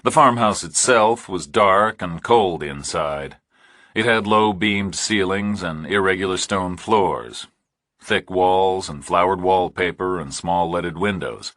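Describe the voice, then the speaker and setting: A man's voice: sits low, slow, fairly bright tone, dry recording, clean studio sound. A man reading a thriller audiobook as scripted narration.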